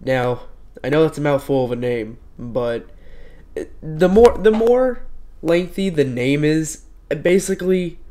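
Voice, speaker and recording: A man's voice: on the high side, expressive, unhurried, warm tone. A young man with a stuffy cold voice, casually reviewing a product with lots of fillers and restarts. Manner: rambling with frequent fillers and restarts. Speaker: tech reviewer.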